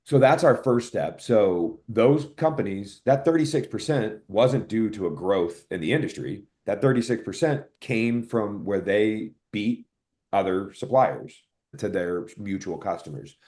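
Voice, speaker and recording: A man's voice: on the low side, animated, unhurried, a little mellow. A man speaking as an expert podcast guest, explaining things analytically and correcting himself as he thinks aloud. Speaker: podcast guest, software product executive. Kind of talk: conversational expert explanation in an interview.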